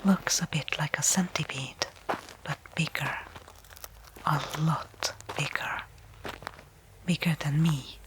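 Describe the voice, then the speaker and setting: Casting: woman acting as a tense stranded astronaut for ASMR sci-fi roleplay; low, breathy whisper, deliberate delivery. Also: steady tone, poor audio. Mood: hushed, tense. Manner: slow, hushed, deliberate phrasing with pauses. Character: tense woman space explorer stranded on alien planet. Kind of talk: whispered ASMR audio roleplay, first-person monologue.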